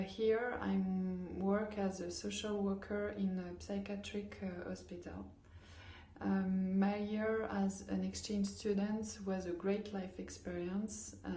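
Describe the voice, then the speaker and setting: Woman from France in her thirties, hesitant testimonial, flat mellow delivery, echoey room. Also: mid-range voice. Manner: hesitant, many fillers. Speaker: former exchange student. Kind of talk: personal testimonial.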